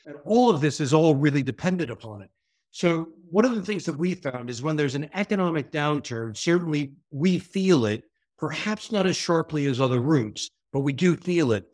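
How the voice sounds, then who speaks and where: A man's voice: on the high side, animated, normal speed, a little mellow. A man with a New York area American accent, answering interview questions in a fluent, explanatory, businesslike way. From New York area, USA. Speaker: port executive.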